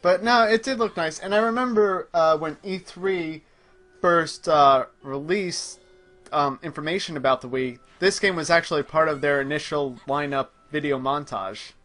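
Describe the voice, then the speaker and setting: A man with a slightly high voice hosts a casual game playthrough. He talks as he plays, explaining details in a somewhat pedantic, conversational way. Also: moderate pace, fairly bright tone. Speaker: host playing the game. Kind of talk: casual gameplay commentary chat.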